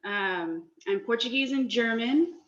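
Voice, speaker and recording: Chatty forties chef, echoey kitchen, dull call audio. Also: female voice, on the high side, expressive, unhurried, a bit bright. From Northern California. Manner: friendly, chatty, a little nervous. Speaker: guest speaker, personal chef. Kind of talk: casual presentation on a video call. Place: home kitchen. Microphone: laptop or webcam mic on a video call.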